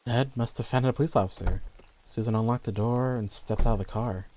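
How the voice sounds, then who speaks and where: A man's voice: mid-range voice, steady tone, brisk, warm tone, a little hiss or hum. A man reading scripted horror stories as the narrator.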